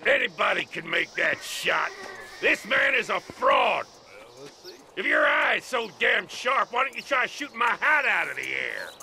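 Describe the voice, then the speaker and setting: Hot-headed cowboy for a western game, gravelly, taunting, shouting with huge pitch swings. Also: male voice, very animated, unhurried, exaggerated voice. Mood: scornful. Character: belligerent, hot-tempered frontier cowboy heckler. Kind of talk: video game western acting.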